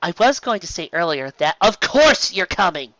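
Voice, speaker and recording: A man voices a sensible female pony who leads the group in a storybook tale. She speaks firmly and in measured phrases. The voice is lifted in pitch, with a bright edge. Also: sing-song, dry recording, highs cut off, exaggerated voice. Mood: reasonable. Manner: measured, firm. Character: sensible, serious female cartoon pony leader.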